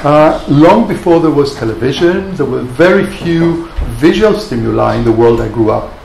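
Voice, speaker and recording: Male guest lecturer, sixties, from Greece; slightly high, gravelly voice, reflective delivery with pauses. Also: expressive, darkish tone. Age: 60s. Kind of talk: academic public lecture. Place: wood-panelled seminar room, indoors. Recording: echoey room, audible background noise.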